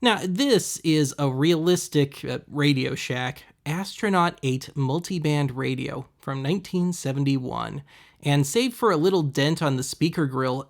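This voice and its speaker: A man hosting a web series, giving casual, deadpan, wry voice-over commentary on thrift finds. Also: on the high side. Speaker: host of a web video series.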